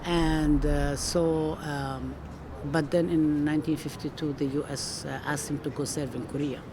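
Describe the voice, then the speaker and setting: A woman's voice: sits low, steady tone, measured pace. Older woman academic from Palestine, earnest and emphatic, interviewed outside with traffic noise behind. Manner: earnest, emphatic, with frequent restarts. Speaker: professor being interviewed. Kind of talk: conversational interview. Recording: outdoor recording with street noise.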